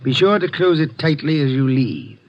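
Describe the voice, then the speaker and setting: An actor playing a shrewd, formal private detective who gives orders in precise, commanding speech, in a 1950s radio drama. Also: male voice, a little high, normal speed, natural voice. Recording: dull lo-fi old radio recording.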